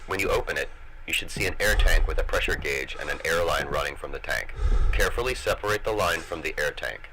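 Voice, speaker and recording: A man playing a calm, precise android crewmate who speaks in a measured, instructive way in a comic dub. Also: very deep voice, poor audio. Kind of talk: dramatic comic dub acting.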